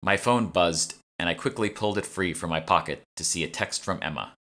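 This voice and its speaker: Low, bright-voiced man reading an audiobook. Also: a little fast. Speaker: author reading own audiobook. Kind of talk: scripted narration, audiobook reading.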